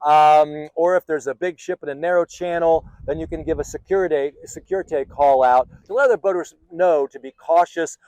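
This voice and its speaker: Male instructor, slightly high register, dry sound. Informal and practical explainer, occasionally halting and self-correcting. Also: a little mellow, dry recording, rough recording. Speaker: sailing instructor and channel host. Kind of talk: informal instructional talk to viewers.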